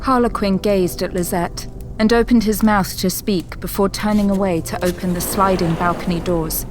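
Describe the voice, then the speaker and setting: A woman giving scripted, measured, literary narration for an audio drama. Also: medium pitch, steady tone, music in the background.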